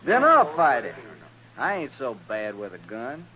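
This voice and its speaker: A man's voice: mid-range voice, wildly swooping pitch, unhurried, bright, forceful tone, breathy edge, highs cut off, heavily acted. An actor playing a cocky, goading gunman in a radio western, with a folksy rural western American accent. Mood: goading, defiant. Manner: taunting, folksy, swaggering. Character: cocky, goading Old West troublemaking gunman. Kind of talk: 1950s radio western acting.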